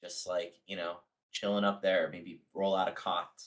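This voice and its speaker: Man, low voice, tabletop player, casual game talk, describing his character. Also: level tone, moderate pace. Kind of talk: casual game chat, narrating own character.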